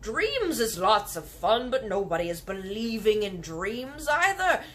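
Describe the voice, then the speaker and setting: A woman voicing a big, friendly old male giant who talks slowly in mangled grammar and made-up words, reading a storybook. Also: mid-range voice, sing-song, fairly bright tone, pitched above the performer's own voice. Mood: stubborn.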